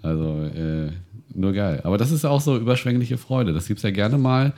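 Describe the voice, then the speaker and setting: Male co-host, lowish mellow voice, loose rambling chatter full of fillers. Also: animated, normal speed. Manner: rambling, many fillers and restarts. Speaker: podcast co-host. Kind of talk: casual chat about reality TV.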